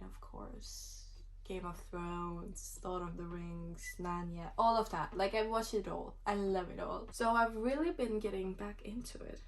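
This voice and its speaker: Young woman vlogger, non-native English, casual and rambling, middle pitch, trails off breathy at phrase ends. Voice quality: speaks English as a third language, a non-native speaker. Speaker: student vlogger. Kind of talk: casual chat to camera.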